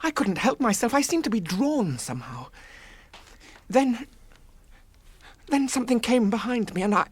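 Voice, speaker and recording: An actor playing an earnest young halfling adventurer in a radio drama, anxious, stammering and shaken. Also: male voice, very high voice, sing-song, normal speed, pauses often, slightly rough, exaggerated voice. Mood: shaken, haunted.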